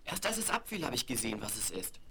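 A woman's voice: extremely low pitch, very animated, fast talker. A woman playing a thoughtful, practical adolescent boy in a seventies radio play on vinyl. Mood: excited, determined. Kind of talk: 1970s German radio play acting. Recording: crackly 1970s vinyl record.

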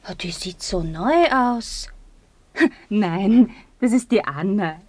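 A woman's voice: mid-range voice, lively intonation, slow, a little mellow. An actress playing a naive, gossipy woman of the street in a radio drama, breezy and colloquial.

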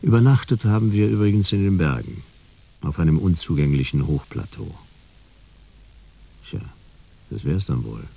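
A man's voice: low voice, animated, normal speed, gravelly, long pauses, dark tone, breathy edge, heavily acted. An actor playing a laconic detective who narrates his case in a dry, wry way in a radio play. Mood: matter-of-fact. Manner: dry, wry, laid-back. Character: laconic hard-boiled detective telling his own case.

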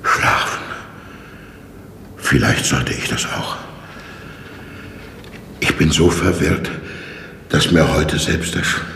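A fussy, apologetic prison warder in an old radio play, rambling and flustered. His voice is extremely low with a gravelly creak, and it rings with long reverb. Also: fairly bright tone, full character voice. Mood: confused, tired. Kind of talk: 1960s German radio drama acting. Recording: echoey stone-walled reverb, noisy old radio recording.

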